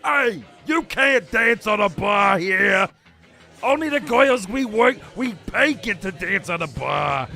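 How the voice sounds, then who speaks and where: A man playing an angry, gruff, blustering bar bouncer who shouts, in a comedic audio drama. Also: sits high, lively intonation, slow, soft background music, exaggerated voice. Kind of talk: comedic table-read radio drama acting.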